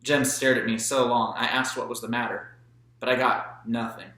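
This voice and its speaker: A man's voice: mid-range voice, steady tone, normal speed, pushed and bright. A man narrating a novel aloud in a dramatized audiobook style. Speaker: amateur audiobook reader. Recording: very echoey room.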